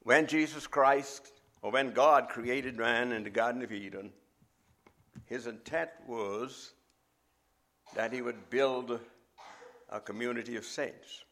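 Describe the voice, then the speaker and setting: A middle-aged man with a Bahamian accent, preaching a sermon as a visiting preacher in a measured, emphatic way. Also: mid-range voice, a bit bright.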